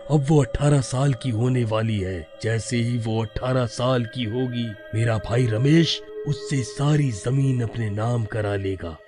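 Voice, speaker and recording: A man playing the pleading, distressed ghost of a murdered father in an animated story, dramatic and halting. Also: medium pitch, music in the background, natural voice. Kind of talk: Hindi animated story acting.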